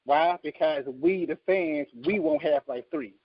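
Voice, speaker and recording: A man with a creaky voice giving emphatic opinions in a casual panel chat. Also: fairly high pitch, a little slow, no room sound. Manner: emphatic, insistent, argues with examples. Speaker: podcast panelist. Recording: slightly dull, lo-fi audio.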